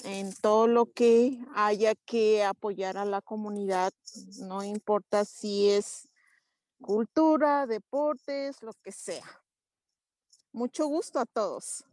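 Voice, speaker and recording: A woman in her fifties from Mexico City, a guest introducing herself in an unhurried, chatty way. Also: a little high, darkish tone, dry recording, low-quality recording. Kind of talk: conversational interview.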